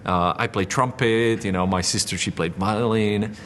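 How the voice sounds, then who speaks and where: A man's voice: on the low side, steady tone, moderate pace, a bit bright, long reverb tail, a little hiss or hum. A young Czech man, a missionary guest, speaking English with a Czech accent in an easygoing, filler-heavy way with frequent laughs. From Czech Republic. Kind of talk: casual interview.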